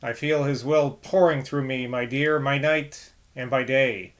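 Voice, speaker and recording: A man voicing a hoarse, rumbling, fervent preacher figure in a read-aloud novel. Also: steady tone, unhurried, close to an everyday voice.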